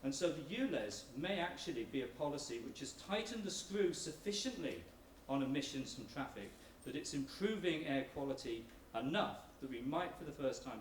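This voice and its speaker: A man's voice: mid-range voice, animated, fairly quick, few pauses. Guy doing an emphatic research talk, kinda far from the mic, echoey room. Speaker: academic researcher presenting. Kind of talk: persuasive public presentation. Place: large indoor room at a public meeting. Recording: roomy hall, distant mic, background noise.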